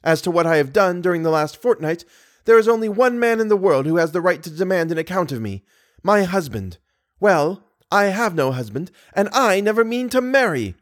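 A man's voice: a little high, sing-song, a little fast, darkish tone, pitched above the performer's own voice. A man voicing a proud, guarded young woman who speaks firmly and formally. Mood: haughty, exasperated. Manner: firm, formal.

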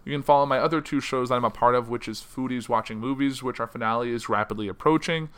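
A man's voice: medium pitch, fast, fairly bright tone, clean tone. A young man hosting a solo news podcast, reading articles aloud and then chatting casually, his voice getting worn. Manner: reads quotes then chats casually with fillers. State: losing his voice.

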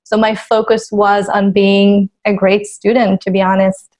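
A woman's voice: mid-range voice, flat delivery, unhurried, darkish tone. A woman from Israel, a marketing executive, answering interview questions in a thoughtful, candid way.

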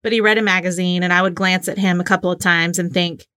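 A woman's voice: lowish voice, steady tone, fast, pushed and bright, smooth, no room sound, studio quality. A woman in her forties co-hosting a podcast, chatting and reading aloud in a giggly, informal way. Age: 40s. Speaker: podcast co-host. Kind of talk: casual chat and diary reading.

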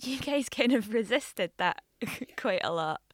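A woman's voice: a little high, lively intonation, measured pace, dry recording, clean studio sound. A young woman from Scotland who interviews her mother in a casual, chatty way, with many fillers. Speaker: interviewer, daughter.

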